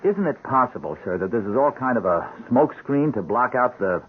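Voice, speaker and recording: An actor playing an earnest, composed police sergeant in a radio drama, explaining things with urgency. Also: male voice, mid-range voice, lively intonation, fairly quick, lightly acted. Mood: earnest, pleading. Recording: narrow-band hissy old radio recording.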